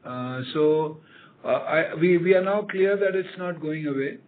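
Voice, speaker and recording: A man with an Indian accent answering questions as an executive on an earnings call, with a halting, measured delivery. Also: a little high. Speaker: company executive. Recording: tinny phone line with noise.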